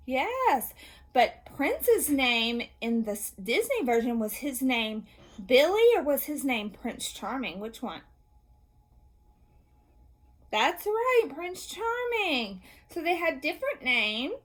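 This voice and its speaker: A woman's voice: sits high, very animated, slow pace. A woman teacher reading aloud to young children, asking questions, answering them herself and offering encouragement. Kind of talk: read-aloud and question-and-answer lesson for kids.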